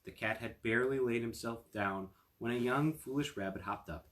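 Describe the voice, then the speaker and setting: A man's voice: medium pitch, normal speed, a bit bright, slight background noise. A man reading a fairy tale aloud as a storyteller, with casual asides to his listeners. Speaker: storyteller, attorney.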